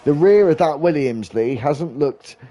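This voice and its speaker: A man's voice: on the high side, lively intonation, dark tone. Stuffy-nosed man calling a race. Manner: brisk play-by-play, rising with overtakes. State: stuffy nose from hay fever. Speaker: lead race commentator. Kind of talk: sim racing play-by-play commentary.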